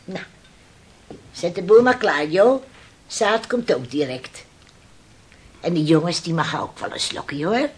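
A woman's voice: lowish voice, lively intonation, slow pace, pauses often, husky, exaggerated voice. An actress playing a kindly, bustling mother in her sixties in a Dutch radio play. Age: 60s. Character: kindly, bustling 61-year-old mother of sailor sons.

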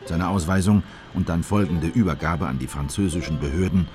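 Male actor as grave official narrating his memoir: very low voice, measured, formal, music underneath. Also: close to an everyday voice. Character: grave male Bolivian official recounting a manhunt, disapproving. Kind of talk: scripted radio drama narration.

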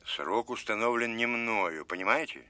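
A man's voice: fairly low pitch, normal speed, sharp tone, no room sound. A man acting a stern, commanding Soviet colonel general in a Russian audio drama, giving clipped, emphatic orders with authority. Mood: firm, impatient.

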